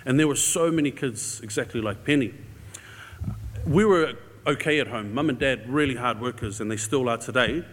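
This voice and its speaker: A man's voice: mid-range voice, moderate pace, fairly bright tone. A man with a New Zealand accent gives an informal church talk as a guest speaker, sharing a personal story in a casual, conversational, somewhat hesitant way. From New Zealand, grew up in Auckland. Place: large indoor church hall. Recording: echoey hall with long reverb.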